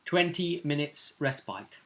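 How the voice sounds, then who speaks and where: Bright-voiced man chatting as game master. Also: a little high, expressive, measured pace. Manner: informal, seeks agreement with tag questions. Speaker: game master recapping a tabletop session. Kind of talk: casual chat recapping game play.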